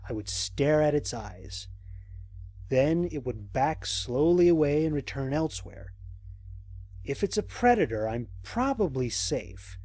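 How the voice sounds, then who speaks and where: An actor playing a cautious, observant male space explorer, recording mission logs in a measured, reflective way for an audio drama. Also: fairly high pitch, very animated, a little mellow, no room sound. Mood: wary, reflective. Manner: measured, reflective, formal diction with deliberate pauses. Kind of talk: scripted audio drama monologue.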